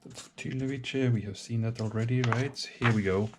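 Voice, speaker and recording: A man thinking aloud while he sets up a solo wargame, talking hesitantly with many pauses and restarts. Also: medium pitch, steady tone, background noise. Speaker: solo board game player.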